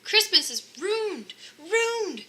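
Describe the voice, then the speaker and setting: A woman's voice: very high voice, very animated, very slow, pushed and bright, pitched above the performer's own voice, heavily acted. A woman voicing an exasperated, flustered old Santa Claus in a children's storybook. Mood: upset.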